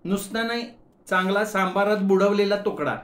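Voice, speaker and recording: A man voicing a sharp-tongued, feisty young woman who fires back quick teasing retorts. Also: sits high, pitched above the performer's own voice. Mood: sharp mocking. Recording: roomy echo.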